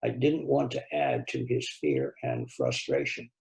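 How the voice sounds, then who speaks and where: A man's voice: medium pitch, huge pitch swings, a little slow, creaky, darkish tone, roomy sound. A man reading a novel aloud as a volunteer story reader, in scripted first-person narration.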